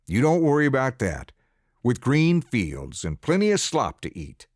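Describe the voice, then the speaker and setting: A man voicing a harsh, cursing farmer father in an audiobook reading, with a lively, swinging pitch and a dry close sound. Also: unhurried, no echo. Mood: gruff. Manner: gruff, cursing, commanding. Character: harsh rough farmer father, gruff, impatient. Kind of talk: audiobook character voice.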